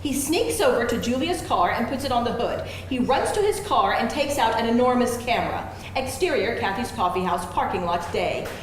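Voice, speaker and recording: A woman reading screenplay stage directions aloud as scripted narration at a live event. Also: on the high side. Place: live event room with audience. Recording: very echoey room, faint noise.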